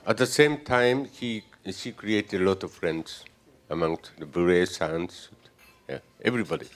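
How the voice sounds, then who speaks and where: An older man giving a halting, joking speech at a gala dinner, with many pauses and fillers. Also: low-pitched, slight background noise. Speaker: gala speaker, society president. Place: banquet hall.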